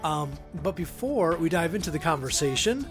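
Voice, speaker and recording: A man's voice: a little high, moderate pace, over music. Man hosting with a flu-weakened voice. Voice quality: slightly weak voice. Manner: relaxed, with frequent ums and uhs. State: weak voice recovering from flu. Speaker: podcast host. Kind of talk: scripted show intro and interview questions.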